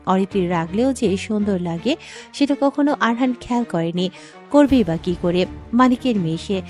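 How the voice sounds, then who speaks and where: Female story narrator over soft music. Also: mid-range voice. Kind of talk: scripted dramatic story narration.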